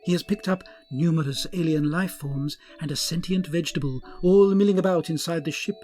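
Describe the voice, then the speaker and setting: A man's voice: fairly high pitch, a little fast, dark tone, natural voice. A man voicing a pompous, grandiose male starship captain in a comic audio drama.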